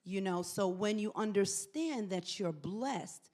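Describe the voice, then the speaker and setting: A woman's voice: medium pitch, lively intonation, slow, a little mellow. Emphatic woman giving a casual testimonial. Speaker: church member giving a testimonial.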